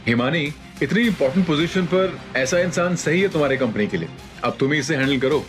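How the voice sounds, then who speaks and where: A man's voice: fairly high pitch, expressive, fairly quick, soft background music, natural voice. An actor playing a loyal, self-made businessman friend in an audio drama. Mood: stern. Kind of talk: Hindi audio drama acting.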